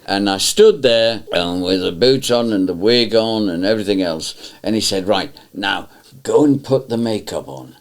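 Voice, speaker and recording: Older male panto dame, low voice, lively and protesting as he tells stories. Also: moderate pace, few pauses. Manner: animated storytelling, mock-indignant protests. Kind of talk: reminiscing interview.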